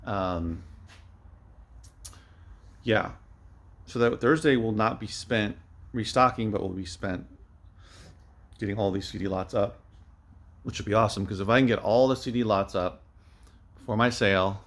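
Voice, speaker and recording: A man with a fairly low voice talks through a casual vlog update. He rambles in an unscripted way, thinking aloud as he goes, like a small-time reseller filling viewers in. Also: moderate pace, long pauses. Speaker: vlogger, online media reseller.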